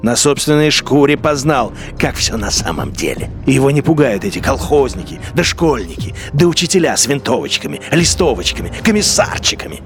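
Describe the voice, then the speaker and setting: A man's voice: mid-range voice, big pitch swings, fairly quick, few pauses. Man narrating a radio drama over faint music.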